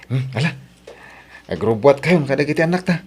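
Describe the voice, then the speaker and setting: A man's voice: medium pitch, expressive, fairly quick, slightly rough. A man playing an easygoing, generous farmer and family man in an Ilocano-accented audio drama. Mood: practical, easygoing. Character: easygoing, generous farming husband and father.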